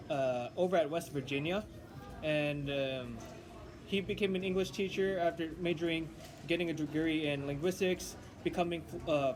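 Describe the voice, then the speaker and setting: A young man studying linguistics, chatting casually in a halting way with many restarts and fillers. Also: fairly high pitch, background noise. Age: young adult. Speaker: linguistics student. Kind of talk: casual chat.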